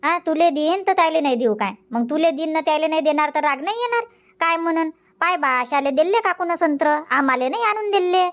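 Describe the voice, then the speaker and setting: A woman's voice: high voice, expressive, a little fast, lo-fi sound. A woman voicing a bossy, chatty village farm woman in a cartoon comedy, insistent and scolding, with a Varhadi Marathi accent from Vidarbha. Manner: insistent, scolding, rhetorical questioning.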